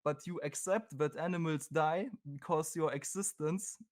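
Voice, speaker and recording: A man's voice: fairly high pitch, normal speed. Hesitant man with a German accent. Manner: hesitant, lots of fillers, searching for words. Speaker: caller. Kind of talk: online debate call.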